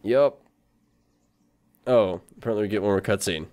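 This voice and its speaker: A man's voice: fairly low pitch, moderate pace, darkish tone. A man hosting a gaming video, giving casual let's play commentary in an informal, playful, chatty way. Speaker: host of a gaming video channel.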